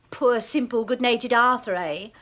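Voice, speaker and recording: A sly sharp-tongued wife, mocking, voice a bit high. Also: female voice, unhurried. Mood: taunting. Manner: taunting, sly. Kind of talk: 1980s radio drama acting.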